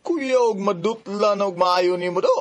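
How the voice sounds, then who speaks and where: An actor playing a brash, teasing young roommate in a Cebuano radio drama, with a husky voice and Filipino accent. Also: male voice, sits high, measured pace. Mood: mocking, amused. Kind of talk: Bisaya radio drama acting.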